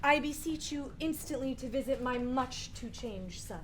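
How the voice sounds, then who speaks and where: Woman acting gracious, high-voiced queen. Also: normal speed, slight background noise, lightly acted. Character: gracious queen, courteous and concerned mother. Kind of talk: Shakespearean radio play acting.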